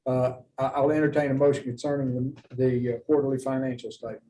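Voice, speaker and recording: A man's voice: medium pitch, level tone, fast talker, dark tone. A man chairing a formal public meeting as commission chair, speaking in a courteous, procedural manner. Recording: distant mic, faint background noise.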